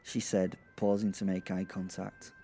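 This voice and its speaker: A man hosting and narrating an audio drama podcast in an informal, halting, self-deprecating way. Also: deep voice, little pitch movement, mellow, faint music behind. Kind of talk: first-person audio drama storytelling and informal address.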